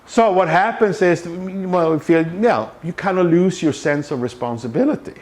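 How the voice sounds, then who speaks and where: A man's voice: high voice, expressive, moderate pace, darkish tone. Man giving a casual talk. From Sweden. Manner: conversational, frequent fillers and restarts. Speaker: Scrum trainer. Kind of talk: conference talk. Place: meetup room.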